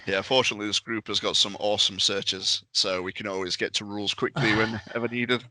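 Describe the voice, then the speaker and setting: A man's voice: on the low side, steady tone, a little fast, pushed and bright, dry recording. A man chatting casually as a panelist in a conversation about tabletop games. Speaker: podcast panelist.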